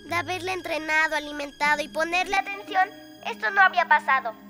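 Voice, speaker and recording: Very high-pitched girl superhero. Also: fast talker. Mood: remorseful, resolute. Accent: Latin American Spanish. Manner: earnest, expressive. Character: eager, earnest little girl superhero. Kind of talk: animated children's series dub. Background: music.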